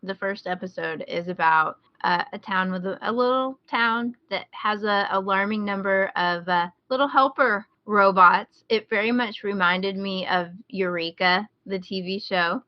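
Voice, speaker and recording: A woman's voice: medium pitch, unhurried, hardly any pauses, no echo, lo-fi sound. A woman with an American accent chatting casually as a podcast co-host, recommending things she enjoys. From rural Midwest United States.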